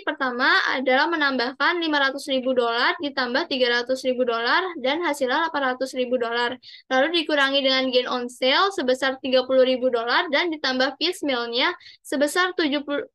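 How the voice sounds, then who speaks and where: A woman's voice: sits high, fairly bright tone. A young woman reading out worked answers as a student presenter, formal with small stumbles. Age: young adult. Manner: formal, read-aloud delivery with small stumbles.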